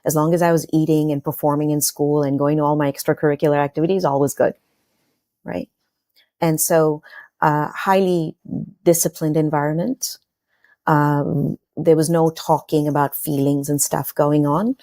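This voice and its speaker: A woman's voice: low-pitched, flat delivery, moderate pace, dark tone, dry recording, clean studio sound. A woman in her forties from Kenya, a podcast guest telling her personal story in a reflective, earnest way with frequent fillers and restarts.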